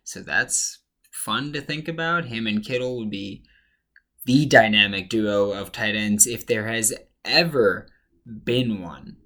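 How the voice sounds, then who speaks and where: Slightly hoarse man, bright-toned podcast host. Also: fairly low pitch, slow pace. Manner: chatty and opinionated, thinks aloud. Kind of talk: casual sports commentary.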